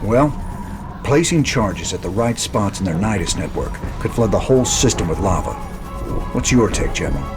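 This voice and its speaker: Gruff, drawling rebel commander. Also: male voice, lowish voice, sing-song, moderate pace. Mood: determined. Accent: American Southern. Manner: laconic, drawling, wry. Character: gruff, cocky rebel commander, space outlaw in his forties. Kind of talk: video game cutscene acting. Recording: loud game music behind.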